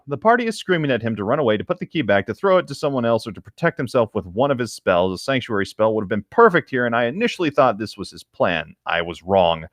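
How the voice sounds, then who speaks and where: A man hosts a storytelling podcast with a very animated, swinging delivery. He reads aloud and chats casually. The sound is dry, with almost no room in it. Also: mid-range voice, no room sound. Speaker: podcast host reading stories. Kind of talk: reading a written story aloud with casual chat.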